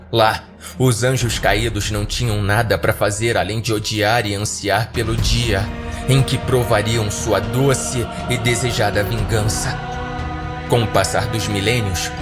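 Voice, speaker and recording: A man with a Brazilian accent giving dramatic scripted narration as a horror podcast narrator. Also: on the low side. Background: music.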